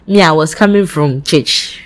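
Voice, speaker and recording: A young woman with a Ghanaian accent telling a story in casual chat, full of "like" fillers. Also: unhurried. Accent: Ghanaian English. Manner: chatty storyteller, many "like" fillers, lively swings in pitch.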